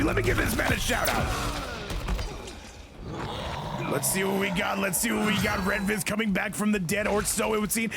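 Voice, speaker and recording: A man hosting and commentating a fighting-game tournament stream, giving hyped, rapid shout-outs to chat. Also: high voice, gravelly.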